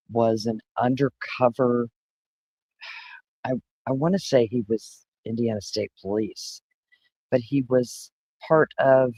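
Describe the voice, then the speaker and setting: A man co-hosting a casual discussion, explaining his thinking in a measured, methodical way. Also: medium pitch, a little mellow, no room sound, poor audio.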